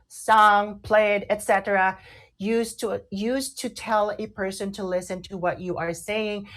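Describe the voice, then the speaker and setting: A woman's voice: medium pitch, fairly bright tone. A woman with a Filipino accent co-hosting an online radio show, explaining things casually and emphatically. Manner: emphatic, mixing in Tagalog. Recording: music playing behind the voice. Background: background music.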